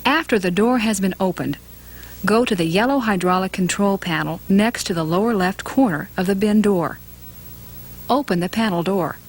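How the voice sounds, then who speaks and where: A woman reading scripted instructional narration for a training film in a measured, matter-of-fact way. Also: medium pitch, breathy edge.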